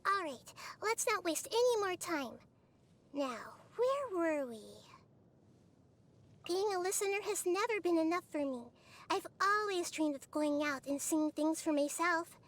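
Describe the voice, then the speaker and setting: A woman voicing a gentle, curious, wise childlike girl deity in a game, speaking softly and thoughtfully. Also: very high voice, lively intonation, unhurried. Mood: earnest, wondering. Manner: soft, thoughtful, storybook-like phrasing. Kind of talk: English dub game character voice lines.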